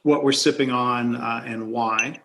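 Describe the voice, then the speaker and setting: A man's voice: mid-range voice, fairly even intonation, measured pace, vocal fry, fairly bright tone. A man from near Detroit hosting a podcast, asking friendly, curious questions with frequent fillers. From near Detroit, USA. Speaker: podcast host. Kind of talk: casual conversation.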